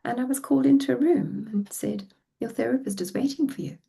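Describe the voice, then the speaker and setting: A woman's voice: on the high side, fairly quick, creaky, dark tone, husky. A middle-aged woman with a South African accent, telling her life story as a guest on a podcast in a reflective, heartfelt way. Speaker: relationship coach guest. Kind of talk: podcast interview.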